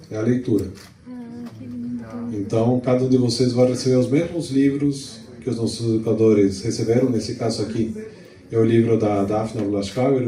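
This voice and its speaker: A middle-aged man from Venezuela, hosting a launch event in a relaxed, chatty way with restarts, speaking into a handheld mic in a hall. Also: medium pitch, far from the mic. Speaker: event host. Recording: echoey hall, mic some way off.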